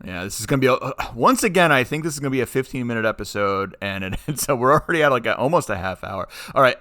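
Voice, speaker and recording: A man's voice: medium pitch, bright, forceful tone, no echo, studio quality. A man co-hosting a podcast in casual, opinionated chat, speaking emphatically and argumentatively and often digressing. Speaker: podcast co-host.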